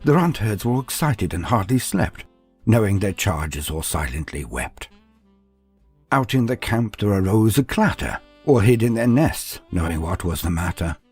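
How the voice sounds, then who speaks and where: Male narrator, husky, highly animated pitch, theatrical fantasy storytelling, music underneath. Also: moderate pace. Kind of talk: dramatic scripted narration.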